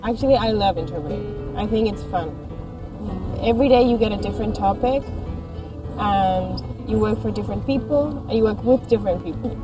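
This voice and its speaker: A woman's voice: medium pitch, a little fast, over music. A young woman from Spain, a freelance interpreter speaking earnestly in a documentary interview. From Madrid, Spain. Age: young adult. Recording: slightly distant mic.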